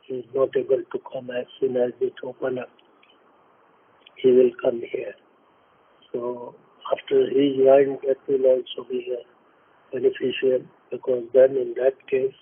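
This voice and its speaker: A businessman with an Indian accent gives unscripted remarks in a husky voice that stays on a narrow, even pitch. His phrasing is deliberate and halting, with words repeated as he goes. The sound is band-limited, like a telephone conference line. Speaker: company executive. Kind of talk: unscripted earnings call remarks. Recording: narrow tinny phone-line audio, muffled.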